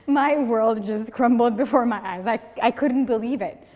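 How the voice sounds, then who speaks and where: A woman's voice: on the high side, measured pace. A woman, giving a humorous prepared speech at a speaking club and acting out the people in her stories. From Morocco. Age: 30s. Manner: lively storytelling, acting out quoted people. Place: meeting room of a speaking club. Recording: long reverb of a hall.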